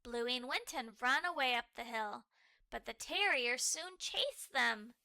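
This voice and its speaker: A woman reading children's stories aloud as a storyteller, in an expressive, deliberate manner. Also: on the high side, no room sound. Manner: animated, deliberate, models fluent reading.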